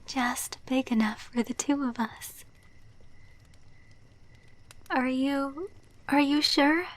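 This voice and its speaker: A woman voicing a tender, devoted vampire girlfriend in an ASMR roleplay, speaking softly and intimately, often near a whisper, close to the mic. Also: high voice, slow pace, a little hiss or hum. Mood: tender, patient. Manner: soft, intimate, breathy delivery, often dropping to whispers. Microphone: close microphone, dry.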